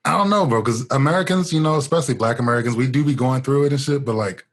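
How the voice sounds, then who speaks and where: A man with a Baltimore accent hosting a podcast, excitable and profane, laughing often. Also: mid-range voice, fast talker. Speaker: podcast host. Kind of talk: casual podcast chat.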